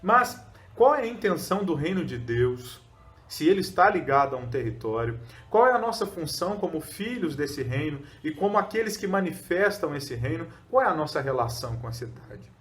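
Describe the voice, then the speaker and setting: A man's voice: on the high side, very animated, moderate pace, a bit bright. A Brazilian man, a pastor, preaching a short sermon with rhetorical questions and fillers. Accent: Brazilian Portuguese. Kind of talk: short recorded sermon teaching.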